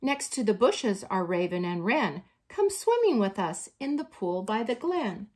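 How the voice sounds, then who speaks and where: A woman voicing a friendly, curious bear in a children's storybook, with loud, emphatic delivery. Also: mid-range voice, sing-song, a little slow, slightly rough. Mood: cheerful, welcoming. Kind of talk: children's storybook read-aloud.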